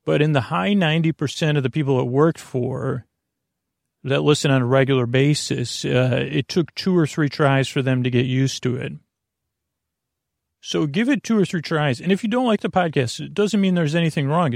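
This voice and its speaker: A man with a creaky voice hosting a podcast in a meandering, halting monologue, often wandering off on tangents and interrupting himself. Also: mid-range voice, no echo, clean studio sound. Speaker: podcast host. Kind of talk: rambling bedtime podcast monologue.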